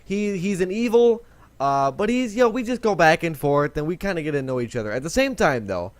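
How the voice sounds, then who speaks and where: Chatty, rambling young man, lively pitch. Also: fairly quick, no echo. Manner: rambling, chatty, self-correcting. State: voice lower than usual today. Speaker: gaming video host. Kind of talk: casual let's-play commentary.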